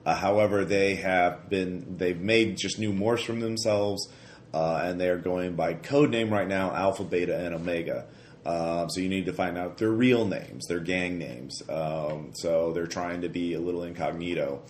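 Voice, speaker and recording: A man with a stuffy, slightly hoarse voice from a cold, narrating a tabletop game as game master in a rambling way, full of fillers and restarts. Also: low-pitched, fairly even intonation, moderate pace. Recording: roomy echo, faint noise.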